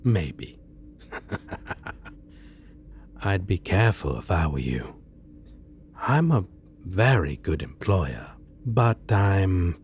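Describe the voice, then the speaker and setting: A man playing a suave, theatrically polite supervillain in an ASMR roleplay, speaking with deliberate pauses and sly menace. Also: deep voice, a little mellow, slight background noise, heavily acted. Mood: quietly menacing. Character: suave male supervillain interviewing a minion, courteous, slyly menacing. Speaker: roleplay voice performer. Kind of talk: ASMR roleplay, scripted monologue.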